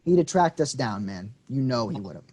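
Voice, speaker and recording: An actor reading a quick-tempered young man, combative and swearing in a staged play reading. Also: medium pitch, very animated, warm tone.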